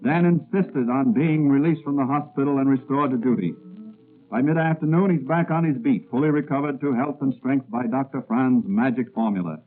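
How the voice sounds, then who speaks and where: A man's voice: medium pitch, normal speed. A man giving dramatic scripted narration in the style of 1940s radio. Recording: narrow-band vintage radio recording. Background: music.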